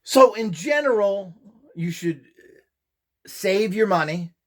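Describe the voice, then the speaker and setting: Animated man with a higher-pitched voice. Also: takes it slowly. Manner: opinionated, emphatic, lecturing. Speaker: instructor and seller of esoteric devices and courses. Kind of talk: informal product explainer talk.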